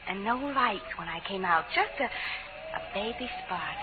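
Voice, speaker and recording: An actress playing a faded alcoholic lounge singer, needy, wistful and plaintive, talking haltingly in 1940s radio drama style. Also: female voice, mid-range voice, expressive, over music, close to an everyday voice. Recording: narrow, noisy 1940s radio recording.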